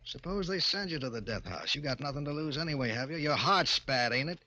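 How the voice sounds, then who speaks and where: Slick American gangster boss, lively delivery. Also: male voice, a little high, a little fast. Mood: urging. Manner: slangy, cajoling tough-guy talk. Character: slick, persuasive male gangster boss, tough and patronizing. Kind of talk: old-time radio crime drama acting. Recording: muffled vintage radio recording, organ music.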